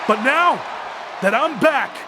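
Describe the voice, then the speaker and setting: A man playing a cocky, determined returning wrestler in a video game, delivering an emphatic and deliberate promo. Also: very high voice, animated, exaggerated voice. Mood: fierce, triumphant. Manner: emphatic, deliberate arena speechmaking. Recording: crowd and arena noise behind. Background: loud arena crowd ambience.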